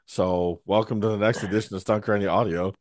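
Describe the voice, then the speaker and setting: A man co-hosting a podcast in casual chat, his voice hoarse and scratchy, talking in a wry, grumbling way. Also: on the low side, steady tone, a little fast, dry recording. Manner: wry, grumbling, mimics others in quotes. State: hoarse, recovering from lost voice. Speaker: podcast co-host.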